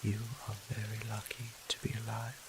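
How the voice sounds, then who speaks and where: A man playing a gentle, doting vampire priest and healer in a roleplay audio drama, speaking softly in formal, old-fashioned, courteous phrasing. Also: on the low side, monotone, normal speed, gravelly, very noisy. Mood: concerned, gentle. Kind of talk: scripted roleplay audio drama, addressing the listener.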